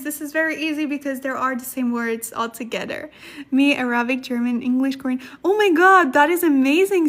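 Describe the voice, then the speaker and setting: High-pitched, giggly woman livestream host. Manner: giggly, chatty, repeats herself for emphasis. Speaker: livestream host, language study partner. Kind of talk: casual livestream chat and lesson, reading viewer comments.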